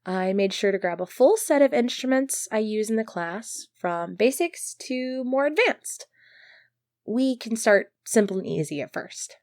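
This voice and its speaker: A woman's voice: fairly high pitch, expressive, a little slow, a little mellow, no echo, studio quality. A woman playing an earnest, easily flustered medieval knight devoted to her prince, speaking politely and formally in an ASMR roleplay. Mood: encouraging. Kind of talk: ASMR roleplay acting, formal old-fashioned speech.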